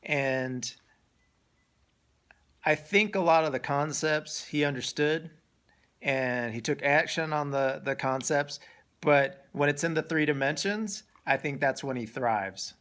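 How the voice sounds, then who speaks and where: A man's voice: fairly high pitch, slow, a bit bright. A man interviewing a friend in a casual chat, often restarting and repeating words as he goes.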